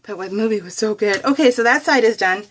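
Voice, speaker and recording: A woman's voice: medium pitch, fast, slight background noise. A middle-aged woman with an American accent chatting casually and in a rambling, exclamatory way, as a craft vlogger does while working. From United States.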